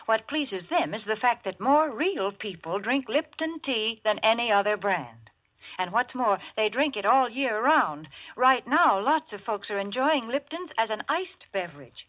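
A woman announcer giving a polished, emphatic advertising pitch in 1940s radio style. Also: animated, moderate pace, fairly bright tone. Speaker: commercial announcer. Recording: dull vintage radio recording.

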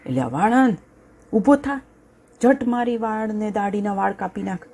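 A woman voicing a hungry, bossy male lion who barks gruff, impatient orders in a storybook tale. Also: medium pitch, very animated, normal speed, warm tone, voice pushed up.

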